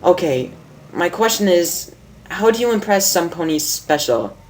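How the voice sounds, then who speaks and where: A woman voicing a brash but awkward young tomboy pony in a storybook reading, blunt, slangy and halting. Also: fairly low pitch, lively intonation, slight background noise, voice pushed up. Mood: nervous.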